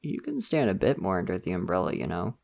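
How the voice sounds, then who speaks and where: A man's voice: low-pitched, sing-song, quick pace, vocal fry, full character voice. A young man voicing a friendly, slightly shy college student in an ASMR roleplay, talking casually and laughing often. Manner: casual, laughs often, soft-spoken and chatty.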